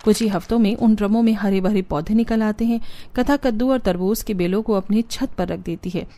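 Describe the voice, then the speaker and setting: A woman's voice: medium pitch, fairly quick, mellow. A woman narrator reading a scripted moral story for a cartoon.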